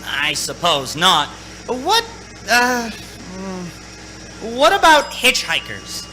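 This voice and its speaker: A man's voice: high-pitched, huge pitch swings, drawn-out words, sharp tone, full character voice, rough recording. An actor playing a haunted, anxious young man in a radio play, dramatic and nervously stammering. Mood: uneasy. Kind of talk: radio play acting, narrating and in scenes. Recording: stage radio play with music.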